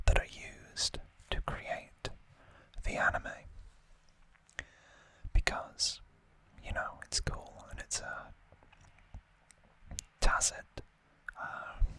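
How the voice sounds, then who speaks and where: A man whispering in a hushed, deliberate manner, as an ASMR host chatting through an unboxing. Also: dry recording.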